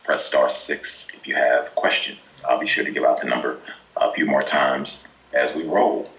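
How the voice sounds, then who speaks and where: A man's voice: a bass voice, fairly even intonation, normal speed, fairly bright tone, reverberant, low-quality recording. A man hosting a call-in talk show, introducing his guest and giving out the dial-in number, with many "uh" fillers between phrases. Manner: frequent "uh" fillers, upbeat welcoming delivery. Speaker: radio show host. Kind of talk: call-in internet radio hosting.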